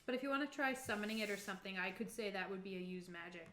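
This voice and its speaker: A woman runs a tabletop game with casual, tentative narration. Her mid-pitched voice comes through in an echoey room with faint noise behind it. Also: racing, pushed and bright. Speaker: game master.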